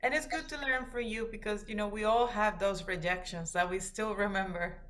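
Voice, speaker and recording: A woman's voice: medium pitch, steady tone, normal speed, a bit bright. A woman moderating a panel discussion, introducing topics and speakers.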